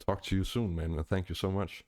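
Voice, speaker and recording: A man in his early thirties hosting an interview, relaxed and laid-back, often ending phrases with "man". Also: sits low, brisk, no room sound, clean studio sound. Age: 30s. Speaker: podcast host. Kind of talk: casual interview chat.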